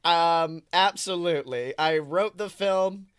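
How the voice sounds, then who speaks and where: A man hosting a podcast in casual, chatty banter, excitable and jokey with theatrical emphasis. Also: on the high side, takes it slowly, smooth, no room sound, clean studio sound. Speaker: podcast host.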